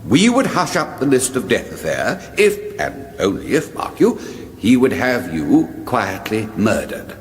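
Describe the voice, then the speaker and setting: A man's voice: lowish voice, wildly swooping pitch, a little slow. A man playing a complacent, oblivious older king in a radio comedy, dithering and chiding in a fussy manner. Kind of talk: broadcast radio comedy acting. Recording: echoey hall with background noise.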